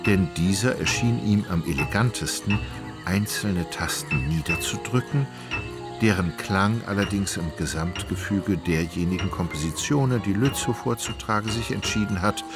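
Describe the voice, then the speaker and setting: A man with a fairly low voice delivers scripted literary narration for a radio drama. He is measured, formal and precise, with prominent music and sound behind him.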